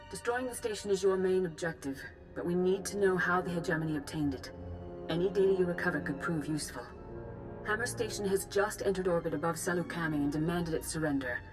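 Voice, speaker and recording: A woman playing a composed, authoritative Jedi master in a video game, speaking in a measured, formal and grave way. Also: on the low side, music in the background, close to an everyday voice. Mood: solemn, concerned. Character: composed, authoritative female Jedi master giving mission briefings. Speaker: military commander briefing volunteers. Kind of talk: formal scripted game dialogue.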